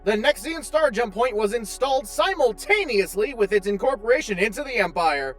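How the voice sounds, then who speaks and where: A man's voice: sits high, expressive, moderate pace, fairly bright tone, soft background music. A man playing a pedantic, formal tour guide AI in a scripted space comedy audio drama. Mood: informative. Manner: formal, precise, didactic. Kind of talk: scripted announcement and narration.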